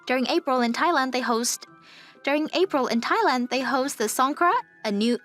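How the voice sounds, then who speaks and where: A woman's voice: high-pitched, normal speed, a bit bright, faint music behind. A woman playing a polite, helpful young travel advisor in a manga dub, with a courteous customer-service manner. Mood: enthusiastic. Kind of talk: English manga dub acting.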